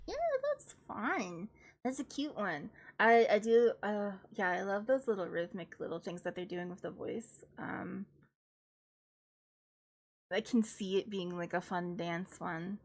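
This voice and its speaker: A woman's voice: medium pitch, measured pace. A young woman, a classical singer, reacts to music on a live stream in a casual, thinking-aloud way, opinionated and analytical, slightly nasal at times.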